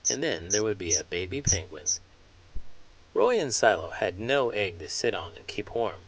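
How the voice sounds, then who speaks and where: A man reads aloud for children in an expressive, emphatic storytelling manner, as a host and teacher would. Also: fairly low pitch, moderate pace, rough recording.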